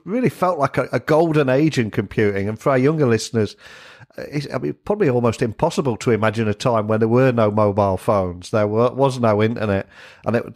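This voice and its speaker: A man's voice: mid-range voice, darkish tone. A man hosting a podcast, delivering upbeat, scripted-sounding introductions and questions. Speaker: podcast host. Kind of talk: podcast interview.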